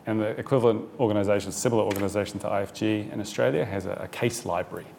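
A man's voice: lowish voice, fairly even intonation, very fast, vocal fry, darkish tone, a little hiss or hum. A man with a New Zealand accent answering on a panel, hesitant with many fillers and restarts.

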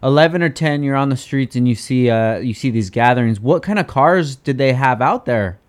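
A man hosts a casual interview in an easygoing, encouraging way. His voice is smooth and resonant and sits at a middle pitch. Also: normal speed. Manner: relaxed, encouraging, says "man" often. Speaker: interview host.

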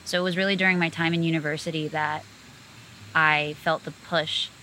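A woman's voice: sits low, steady tone, normal speed, bright, forceful tone, slight background noise, poor audio. A woman from the United States talking in a casual conversation, fluent and earnest, often saying "like" as she goes. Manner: fluent, earnest, many "like" fillers.

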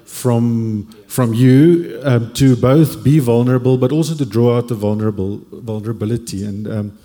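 A man in his forties speaks with a mellow, dark tone and a reflective, hesitant manner. His words trail off in a long hall reverb. He shares personal stories candidly on a church panel. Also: medium pitch, normal speed. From Cape Town, South Africa. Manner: reflective, hesitant, many fillers. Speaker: panel guest.

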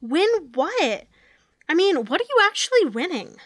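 A woman playing an animated, sarcastic sitcom wife and mother in a script table read. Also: very high-pitched, normal speed, no echo, clean studio sound, full character voice. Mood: sarcastic, exasperated.

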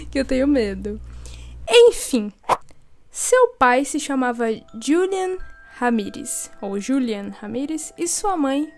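A woman's voice: sits high, a little mellow. A young woman co-hosting a true-crime podcast, chatting casually and joking between facts. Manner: chatty, jokey, quick asides and laughs. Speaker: podcast co-host. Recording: faint music behind.

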